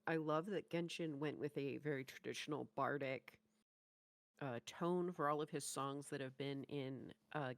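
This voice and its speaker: A reaction video co-host chatting casually in an expressive, gushing fan's manner. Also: medium pitch, no room sound. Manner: gushing, expressive fan talk.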